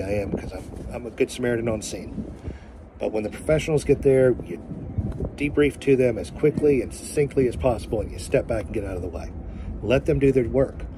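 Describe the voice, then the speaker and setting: A man in his forties telling a story straight to a phone camera outdoors, speaking earnestly and emphatically, pausing to find his words. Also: medium pitch. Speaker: family vlogger. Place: outdoors by a boat in sunlight. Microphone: phone held at arm's length. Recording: outdoor phone audio with background noise.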